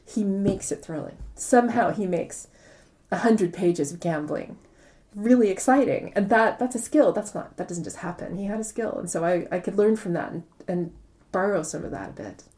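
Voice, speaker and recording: A woman's voice: medium pitch, normal speed, hardly any pauses, dark tone. A woman speaking conversationally as an interviewed author, explaining things reflectively and repeating words for emphasis. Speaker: interviewed novelist.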